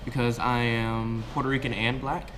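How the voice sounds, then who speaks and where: A man's voice: mid-range voice, little pitch movement, normal speed, over music. A young man from the United States answering an interview question earnestly and reflectively. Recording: noisy background.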